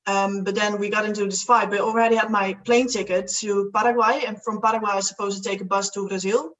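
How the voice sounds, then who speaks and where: A woman's voice: medium pitch, fairly even intonation, quick pace, fairly bright tone, far from the mic, poor audio. A young woman from the Netherlands, a guest chatting casually, rambling with many fillers and restarts. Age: young adult. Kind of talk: casual chat.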